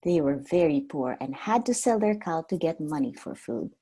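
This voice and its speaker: A woman reading a storybook aloud like a preschool teacher, expressive and simply worded for small children. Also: sits low, moderate pace, slightly rough.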